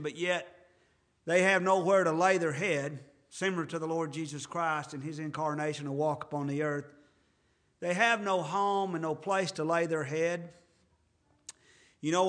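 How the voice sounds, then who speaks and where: A man's voice: a little high, a bit bright. A man in his fifties preaching a sermon in an earnest, conversational way with folksy asides. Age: 50s. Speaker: pastor.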